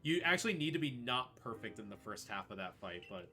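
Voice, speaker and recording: A man's voice: medium pitch, very animated, a little fast, bright, forceful tone. A man giving casual live commentary while he plays a video game speedrun. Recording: faint music behind the voice. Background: game music.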